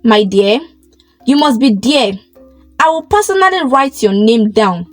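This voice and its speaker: Woman as an assured, regal queen, slow-paced. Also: on the high side, expressive, dry recording, faint music behind. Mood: kind. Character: kindly, elegant, commanding queen.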